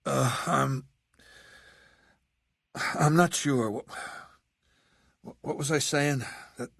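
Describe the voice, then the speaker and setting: A man playing an aging, imperious warlord dictator in a video game, with a measured, commanding and menacing manner. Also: mid-range voice, vocal fry, a bit bright, breathy edge, dry recording, studio quality, exaggerated voice. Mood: faltering, evasive. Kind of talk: video game character dialogue.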